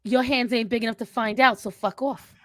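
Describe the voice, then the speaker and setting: A woman's voice: a little high, fairly even intonation, a little fast, natural voice. A woman voicing a brash, sassy young woman spellcaster who teases and swears in improvised banter. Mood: brash teasing. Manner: cocky, mocking, teasing, profane.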